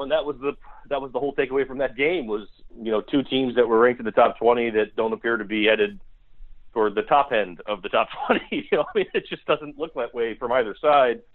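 A man's voice: mid-range voice, quick pace. An American man giving blunt, critical football analysis as a guest on a podcast, emphatic and repeating his points. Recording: tinny phone-line sound.

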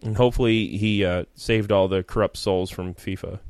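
A man in his late twenties co-hosting a casual chat, joking and animated, with lots of fillers. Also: deep voice, normal speed, smooth. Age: 20s. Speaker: podcast co-host.

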